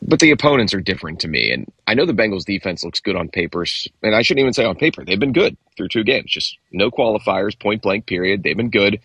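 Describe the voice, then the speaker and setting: Low husky guy talking football on a sports show, measured, kinda thinks out loud, dry sound. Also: sing-song, no room sound. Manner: measured, analytical, thinks aloud. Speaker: football analyst. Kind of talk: sports talk show discussion.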